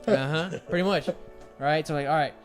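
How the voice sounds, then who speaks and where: A young man telling a loud, swearing story about a video game match. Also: on the high side, moderate pace. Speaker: podcast co-host. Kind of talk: casual gaming chat. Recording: music playing over the talk.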